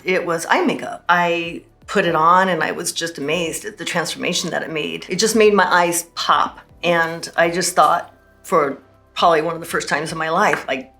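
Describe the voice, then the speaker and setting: Middle-aged woman, guest mother: lower voice, gravelly fry, earnest storyteller. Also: a bit bright. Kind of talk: casual chat.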